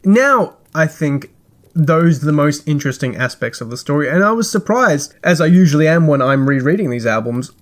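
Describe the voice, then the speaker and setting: A man hosting a podcast, reading a scripted review in a measured, articulate way with dry, wry asides. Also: fairly high pitch.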